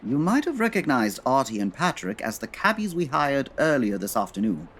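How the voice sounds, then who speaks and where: An actor playing a haughty, brilliant gentleman detective in a comedy audio drama, speaking formally with precise diction. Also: medium pitch, huge pitch swings, moderate pace, exaggerated voice. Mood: smug, explaining.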